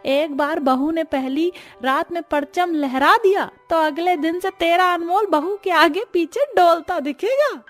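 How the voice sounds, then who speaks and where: A woman voicing a nosy, blunt middle-aged neighbour in an animated family story, teasing and gossipy. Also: very high-pitched, measured pace, few pauses, soft background music. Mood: taunting.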